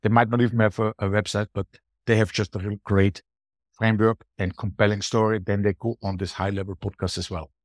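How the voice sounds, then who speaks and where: A man's voice: lowish voice, flat delivery, a little fast, no echo, clean studio sound. A middle-aged or older man with an Austrian accent and German as his native language, giving emphatic, conversational answers as an interview guest. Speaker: podcast guest, booking strategist.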